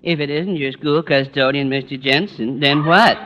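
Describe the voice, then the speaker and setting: A man's voice: fairly high pitch, normal speed, natural voice. A man playing a literal-minded, gleefully nitpicking school custodian, in 1940s radio sitcom style. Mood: pedantic, pleased. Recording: muffled, slightly noisy old radio recording.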